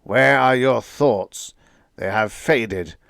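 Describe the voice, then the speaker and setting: A man's voice: medium pitch, slow pace, gravelly, fairly bright tone, dry recording, clean studio sound, pitched above the performer's own voice. A man voicing an ancient, arrogant supreme intelligence that commands and roars threats. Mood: imperious. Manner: pompous, commanding, roaring. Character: booming arrogant cosmic intelligence, ancient, furious.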